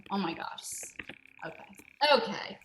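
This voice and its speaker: A woman's voice: mid-range voice, lively intonation, slow, fairly bright tone, roomy sound, slight background noise, low-quality recording. A woman hosting a group video call, effusive and flustered with thanks. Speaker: group host.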